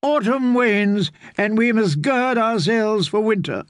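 A man voicing a dignified, diplomatic noblewoman in a fantasy audiobook, measured and formal. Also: sits high, dry recording, clean studio sound, voice pushed up. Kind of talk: dramatic audiobook character voice.

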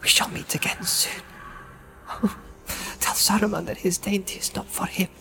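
A man voicing a small, frightened young hobbit in a fantasy storybook, halting and stammering as if badly shaken. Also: high-pitched, fairly even intonation, noisy background, voice pushed up. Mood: anguished. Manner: stammering, halting, breathy and trembling.

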